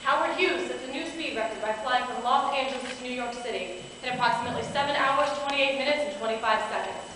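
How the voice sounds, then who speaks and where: A woman's voice: high-pitched, fast, lightly acted. A woman reciting scripted news-style narration in an amateur stage play. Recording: echoey hall from far off, clear noise.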